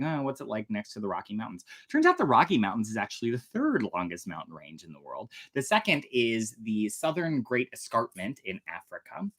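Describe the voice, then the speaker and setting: An American storyteller with a mid-range voice that rises and falls dramatically. The delivery is expressive and casual, like a host reading tales and chatting with listeners. Phrasing is theatrical yet informal. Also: medium pitch. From United States, Oklahoma. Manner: dramatic, expressive when narrating, chatty and self-deprecating in asides. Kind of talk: animated storytime reading with casual fun-fact asides.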